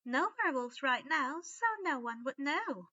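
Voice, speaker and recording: A woman's voice: sits high, animated, unhurried, sharp tone, no room sound, dull audio, voice pushed up, heavily acted. A woman voicing an earnest, protective male house elf servant with emphatic, broken servant grammar in a story reading. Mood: eager.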